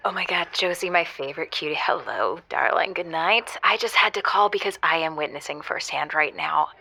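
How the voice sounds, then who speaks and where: An actress playing an effusive, dramatic romantic who calls a radio show in a comedic audio drama, full of endearments. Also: female voice, low voice, fairly quick, gravelly, pushed and bright, breathy edge, exaggerated voice. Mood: gushing. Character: gushing, theatrical, romantic regular caller to a radio show. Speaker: caller.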